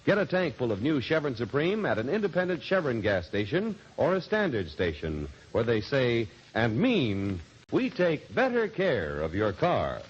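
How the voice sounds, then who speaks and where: A man's voice: mid-range voice, wildly swooping pitch, normal speed, noisy background. A man announcing on a 1940s radio show, delivering scripted narration in a dramatic, polished salesman's manner on a muffled old recording.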